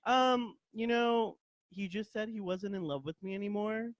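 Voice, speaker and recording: A young man in his twenties with a high voice, talking with a handheld mic. He chats as a podcast guest, breaking into excited outbursts and frequent laughs. The sound is close and dry. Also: moderate pace, dry recording. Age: 20s. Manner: animated, laughs often, sudden bursts of excitement. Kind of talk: casual chat.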